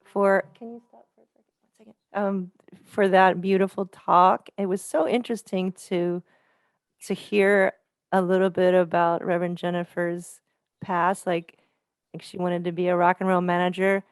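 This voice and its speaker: A woman on a video call warmly thanking people, bubbly and effusive with frequent laughter. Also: fairly low pitch, flat delivery, normal speed, clean tone, dry recording. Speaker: congregant and singer. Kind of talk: casual thank-you sharing.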